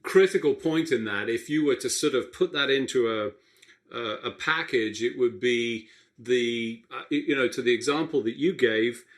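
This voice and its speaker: A man in the host's seat of a health radio show, with a middle-pitched voice. He talks reflectively, often restarting sentences as he thinks aloud. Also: moderate pace, fairly bright tone. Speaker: radio show host, physician. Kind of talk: interview host.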